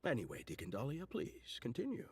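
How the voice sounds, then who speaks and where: A man playing a courteous middle-aged clergyman in a video game, a gentle, wistful father who speaks in formal, measured, poetic phrases. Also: mid-range voice, wildly swooping pitch, over a noisy crowd. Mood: gently chiding. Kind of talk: scripted video game dialogue.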